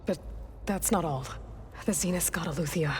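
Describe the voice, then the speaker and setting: A woman's voice: on the low side, moderate pace, background noise, close to an everyday voice. An actress voicing a determined young female hunter who speaks plainly and dryly. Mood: even. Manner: direct, dryly understated.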